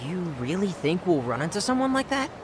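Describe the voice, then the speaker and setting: A man's voice: high voice, very animated, brisk. An actor playing an earnest, eager teenage boy with informal speech in an English-dubbed video game. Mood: earnest, concerned. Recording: clear outdoor city noise behind.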